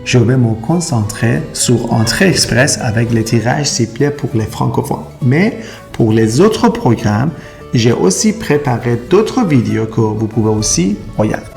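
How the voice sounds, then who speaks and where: Gravelly-voiced man. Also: mid-range voice, animated, a little slow, a little mellow, soft background music. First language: Persian and Turkish. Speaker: immigration consultant. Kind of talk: explainer video to camera.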